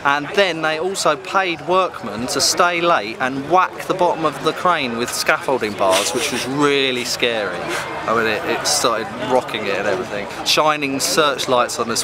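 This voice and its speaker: A British man in his thirties casually telling an anecdote in an interview, recorded outdoors on a handheld camera. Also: on the high side. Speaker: activist interviewee. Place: open park outdoors with crowds. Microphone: handheld camera at close range. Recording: outdoor crowd noise.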